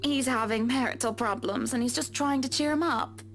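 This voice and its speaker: Casting: woman playing genteel, sweet society wife for a story game; high voice, refined manners. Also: moderate pace, soft background music, natural voice. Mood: confiding. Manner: polite, refined phrasing. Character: genteel sweet married socialite woman client. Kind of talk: visual novel game acting.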